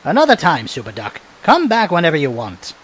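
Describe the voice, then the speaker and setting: A man playing an old cartoon duck with genial, reassuring ways. His pitch leaps around widely. There is faint noise behind him. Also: huge pitch swings, a little fast. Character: genial old male duck, veteran of superhero days. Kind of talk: comic dub voice acting.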